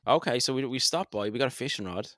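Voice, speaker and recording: A man's voice: mid-range voice, expressive, quick pace, fairly bright tone, dry recording, studio quality. An Irish man co-hosting a podcast, chatting casually as he narrates a game playthrough. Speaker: podcast co-host.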